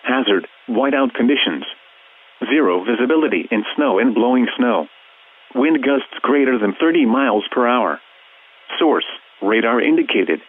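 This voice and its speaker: A man's voice: mid-range voice, husky. Tinny radio man, synthetic weather bulletin voice. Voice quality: synthetic text-to-speech voice. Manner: flat, formal, measured reading. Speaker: automated weather alert announcer. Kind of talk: scripted emergency weather bulletin.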